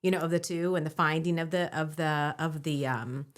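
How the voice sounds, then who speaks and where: A woman's voice: low-pitched, fairly even intonation, normal speed. A woman co-hosting a podcast, thinking aloud with many fillers and often agreeing with her partner. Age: adult, divorced. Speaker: podcast co-host. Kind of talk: conversational podcast discussion.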